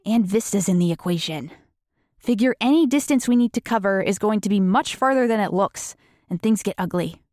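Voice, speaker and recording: A woman's voice: mid-range voice, lively intonation, fairly quick, a little mellow, dry recording, lightly acted. Dry-sounding, knowing teenage girl, voiced by a woman. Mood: urgent analysis. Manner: matter-of-fact, knowing. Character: clever, self-assured teenage girl explaining tactics, unapologetic.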